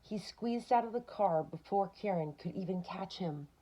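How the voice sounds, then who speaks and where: A woman's voice: medium pitch, moderate pace, dark tone, lo-fi sound, slight background noise. A woman reading a picture book aloud to children, expressively, with short asides of her own.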